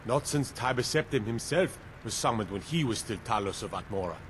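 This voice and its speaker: An actor playing a proud, gruff Nord warrior who speaks forcefully in a fantasy video game. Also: male voice, mid-range voice, animated, brisk, crowd noise, close to an everyday voice. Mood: awed.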